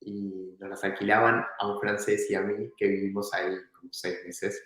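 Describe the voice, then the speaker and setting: Man, interview guest, relaxed storytelling, slightly low voice, heavy room echo. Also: normal speed. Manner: relaxed, reflective, laughs now and then. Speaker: entrepreneur guest. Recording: very echoey room.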